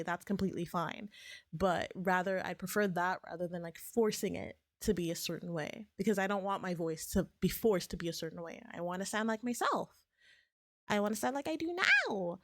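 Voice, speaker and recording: A woman streamer in casual, rambling chat, her voice a bit raspy as if she is getting sick, with lots of fillers and restarts. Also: fairly low pitch, dry recording, clean studio sound. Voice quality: slightly raspy.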